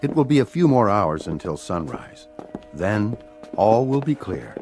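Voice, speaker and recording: A suave and secretive alien leader in a radio play. The voice is on the low side with very lively intonation, formal and faintly sinister, over light music. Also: male voice. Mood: measured. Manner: formal, smooth, measured. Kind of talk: radio drama acting.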